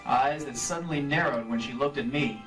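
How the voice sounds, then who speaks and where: An actor playing a weary, wisecracking insurance investigator in a 1950s-style radio play, recorded live on stage from a distance. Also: male voice, mid-range voice, brisk, music in the background, lightly acted. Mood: wry, brisk. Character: weary wisecracking freelance male insurance investigator, narrating his case. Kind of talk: 1950s radio detective acting and narration. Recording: live convention stage, picked up from afar.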